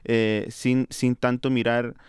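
A man with a Chilean accent, speaking as a panelist in a discussion, halting with many fillers. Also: medium pitch, flat delivery, clear, resonant tone, no echo, studio quality. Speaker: panelist, music agency director.

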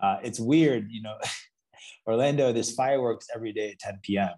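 A man in his thirties from Canada and Florida, speaking as a founder and podcast guest, in a relaxed conversational way with hesitant restarts. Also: lowish voice, normal speed. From Canada and Florida, USA. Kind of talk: podcast interview.

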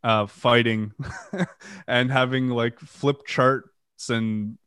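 A man's voice: medium pitch, steady tone, very slow, a bit bright, clean tone, no echo, studio quality. A French Canadian man, a metal band's vocalist, telling his band's story in a casual interview, rambling with fillers and casual swearing. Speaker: band vocalist and guitarist.

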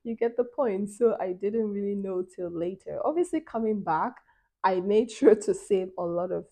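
Young woman, from Nigeria, chatty vlog storytelling, lots of fillers, mellow tone. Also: mid-range voice, moderate pace, creaky. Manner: chatty, animated, many fillers and laughs. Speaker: travel vlogger. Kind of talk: casual storytelling to camera.

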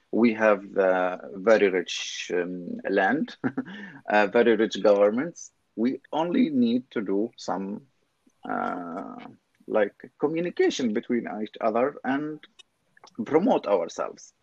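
A man's voice: lowish voice, lively intonation, slow, no echo, dull audio. A man from Syria with a Syrian Arabic accent, speaking English with many fillers and restarts in an interview. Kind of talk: casual interview.

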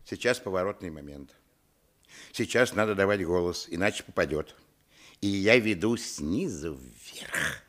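A man's voice: deep voice, expressive, unhurried, a bit bright, full character voice. An actor playing a grown man recalling his village boyhood, narrating a radio play in a reflective storytelling manner. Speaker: narrator telling his childhood. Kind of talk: dramatised literary narration.

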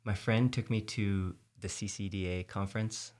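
Deep-voiced reflective man from Texas. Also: steady tone, normal speed, darkish tone. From South Texas. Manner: reflective, searching for words with many fillers. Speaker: podcast host. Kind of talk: casual podcast conversation.

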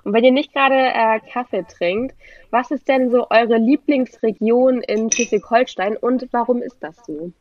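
A woman's voice: fairly high pitch, unhurried, muffled recording. A woman from northern Germany co-hosting a casual podcast and joking along.

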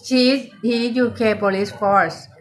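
A woman with a Thai accent hosting a casual livestream chat. She speaks in halting English and keeps repeating "yeah, yeah, yeah.". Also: on the high side, fairly even intonation, a little hiss or hum, low-quality recording. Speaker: livestream host.

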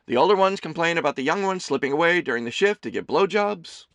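A man voicing a doting, gossipy, middle-aged mother who runs a diner, loud and theatrical. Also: lively intonation, quick pace, dry recording. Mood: teasing. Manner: loud, theatrical, high chirpy voice.

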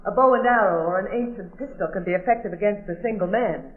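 An actress playing a cold, determined female killer with formal, deliberate menace in an old radio drama. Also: mid-range voice, close to an everyday voice. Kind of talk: 1940s radio drama acting. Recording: tinny, band-limited old radio recording.

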